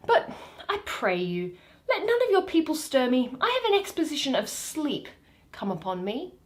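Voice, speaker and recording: A woman's voice: high-pitched, wildly swooping pitch, normal speed, voice pushed up. Woman playing pompous donkey-headed man, swooping delivery. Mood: hungry, drowsy. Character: Bottom, bumbling self-important man, part donkey, hungry and sleepy.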